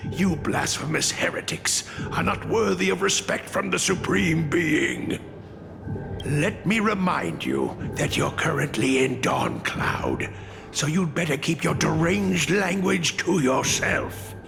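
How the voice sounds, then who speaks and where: A man's voice: on the high side, lively intonation, slow pace, creaky, full character voice. An actor voicing a haughty, zealous male priest who speaks with stern contempt and threats, in a video game with music behind. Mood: scornful.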